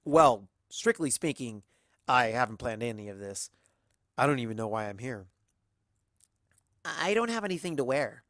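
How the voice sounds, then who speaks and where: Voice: mid-range voice, sing-song, moderate pace, pauses often, a bit bright, dry recording, studio quality. A performer voicing an earnest, polite, somewhat puzzled young unicorn mare in a storybook reading, hesitant and courteous. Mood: awkward.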